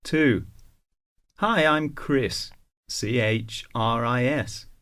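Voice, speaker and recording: A man reading scripted English listening exercises deliberately, spelling words out letter by letter. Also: medium pitch, a bit bright. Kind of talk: scripted slow textbook dialogue.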